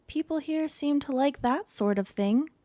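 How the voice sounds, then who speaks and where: A woman's voice: sits high, a little slow, mellow, dry recording. A woman voicing a proud, stubborn little girl in a children's storybook, speaking plainly and earnestly. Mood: unsure. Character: proud, stubborn little girl who loves odd plants.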